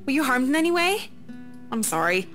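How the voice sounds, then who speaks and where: A courteous, formal lady from a visual novel, acted out by a woman reading along. Her voice sits somewhat high and sounds apologetic and concerned, with music playing clearly behind it. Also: sing-song, fairly quick, fairly bright tone. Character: tall, concerned, courteous woman, owner of a cat.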